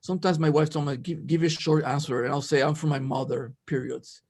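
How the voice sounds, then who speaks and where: A man in his sixties from Venezuela, giving a halting conference talk over a video call. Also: on the high side, fairly even intonation, darkish tone. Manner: halting, many fillers and restarts. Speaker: conference presenter, ethnobotanist. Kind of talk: academic slide presentation.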